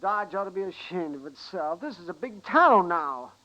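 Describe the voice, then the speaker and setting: Casting: earnest, fussy American western doc, animated and piercing, on a dull vintage radio recording. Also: male voice, sits high, normal speed, heavily acted. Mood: indignant, earnest. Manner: fussy, emphatic. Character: excitable, earnest frontier town doctor. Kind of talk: 1950s radio drama acting.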